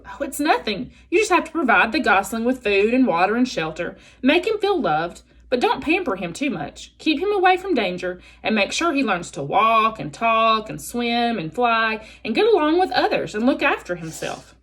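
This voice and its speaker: A woman's voice: fairly high pitch, very animated, normal speed, a bit bright. A woman voicing a brusque old female goose in a storybook, blunt, scolding and practical. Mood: practical, advising. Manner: squawking, blunt.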